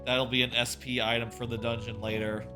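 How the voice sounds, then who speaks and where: A man's voice: mid-range voice, level tone, fairly quick. Chatty man doing casual game commentary. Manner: sweary, chatty, repeats himself. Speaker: video game streamer. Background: game music.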